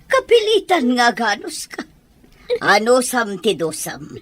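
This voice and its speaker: Woman acting a blunt, animated village matron. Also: a little high, slow pace, full character voice. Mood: stern. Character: blunt, fussing middle-aged village matron scolding youngsters. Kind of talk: dramatised dialogue in an audio drama.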